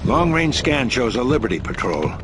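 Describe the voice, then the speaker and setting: An actor playing a calm, reassuring older man who runs a space station and protects the fugitives. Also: medium pitch, moderate pace, over music, lightly acted. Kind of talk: video game cutscene acting. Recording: noisy ambient background.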